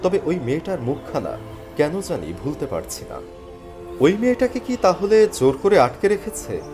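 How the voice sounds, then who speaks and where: A man playing an earnest, dejected young job seeker, telling his own story dramatically in an animated thriller. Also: a little high, moderate pace, music in the background. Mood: anxious, puzzled. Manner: expressive, earnest. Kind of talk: dramatic first-person scripted story narration with dialogue.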